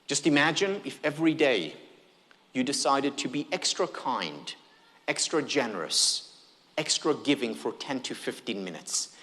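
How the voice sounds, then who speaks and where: A man's voice: medium pitch, animated, measured pace, pushed and bright, long reverb tail. A man whose first language is Hebrew gives a conference keynote, engaging the audience with questions and humor. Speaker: keynote speaker.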